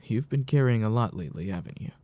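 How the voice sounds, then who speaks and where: Man acting mellow, wistful childhood friend. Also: fairly low pitch, animated, dry recording, natural voice. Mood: caring. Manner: slow, halting, reflective. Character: wistful, caring male childhood friend, weary of adulthood. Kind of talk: ASMR roleplay, soft intimate talk.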